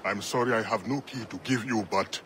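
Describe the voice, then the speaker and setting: A grave, resolute assassin chief from a video game , voiced by a man. His voice sits very low and he speaks in measured, solemn phrases over clear outdoor background noise. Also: a bit bright, natural voice. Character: grave, resolute male assassin leader planning revenge. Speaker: assassin bureau leader. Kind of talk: video game drama acting.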